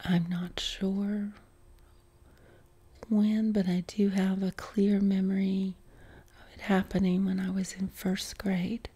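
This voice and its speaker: A middle-aged woman from West Virginia with a softened Appalachian accent, whispering an unhurried question-and-answer chat from her living room couch, close to the mic. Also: medium pitch, level tone, breathy edge. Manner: unvoiced whisper, unhurried with many ums. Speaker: ASMR creator. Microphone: close mic, camera framed on torso.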